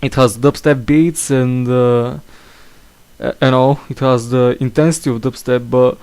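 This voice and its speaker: A young man hosts a casual chat in a middle-pitched voice. He talks hesitantly, stumbling over his words and filling the gaps with "uh". A slight cough hangs on his voice. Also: steady tone, a little slow, darkish tone, clean tone. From England. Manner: hesitant, full of fillers and restarts. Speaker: podcast host.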